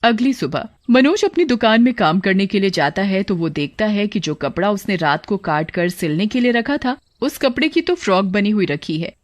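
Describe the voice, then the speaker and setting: A woman reading a scripted cartoon story as narrator, in a plain, measured storytelling manner. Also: mid-range voice, expressive, no room sound.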